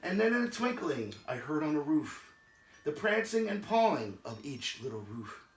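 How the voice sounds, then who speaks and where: A man's voice: big pitch swings, normal speed. A man playing a jolly Santa Claus who reads a Christmas storybook poem aloud in a theatrical, dramatic way. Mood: storytelling. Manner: theatrical, swelling into loud calls and dropping low. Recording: roomy echo, music behind.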